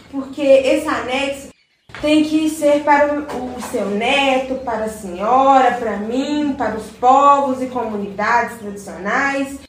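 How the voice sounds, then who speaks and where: A woman playing an earnest, respectful younger neighbour in a radio drama. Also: sits high. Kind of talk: scripted community radio drama. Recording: very echoey, distant mic, faint noise.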